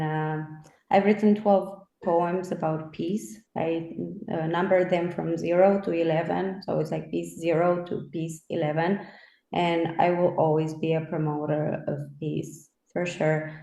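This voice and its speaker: Woman in her thirties, low-pitched, earnest, roomy echo. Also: steady tone, a little slow, gravelly, warm tone. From Romania. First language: Romanian. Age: 30s. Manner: earnest, reflective. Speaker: interviewer. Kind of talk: conversational interview.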